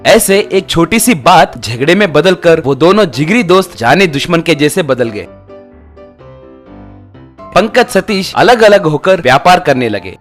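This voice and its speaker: A man's voice: a little high, sing-song, a little slow, soft background music. A man narrating a scripted, children's-style story.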